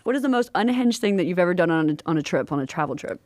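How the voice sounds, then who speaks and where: A woman hosts a casual podcast chat in a fairly low voice. She is effusive and affirming as she talks. The sound is very dry, with no room on it. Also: expressive, very fast, darkish tone, no echo. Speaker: podcast host interviewing a guest.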